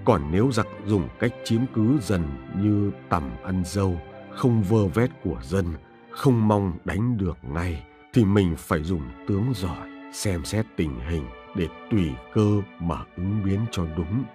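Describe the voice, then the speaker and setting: A wise old grand commander from a cartoon history tale. The voice is very low, solemn and measured, like counsel given to a court, with music clearly behind it. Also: male voice, expressive, mellow. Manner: grave, measured, instructive.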